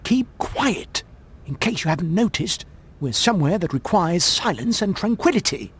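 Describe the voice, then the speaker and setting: An actress playing a stern, curt woman in a video game, husky and scolding. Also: on the low side, animated, moderate pace. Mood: stern, annoyed.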